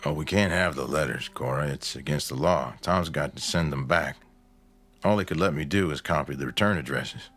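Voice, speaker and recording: An actor playing a practical, gently firm middle-aged husband, measured and matter-of-fact in a radio drama. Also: male voice, rumbling low. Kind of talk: low-key radio drama acting.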